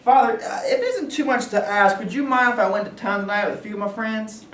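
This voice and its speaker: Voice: mid-range voice, animated, fast talker, close to an everyday voice. A performer in a staged play reading, playing a polite, deferential man. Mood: eager, polite. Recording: echoey, distant mic, faint noise.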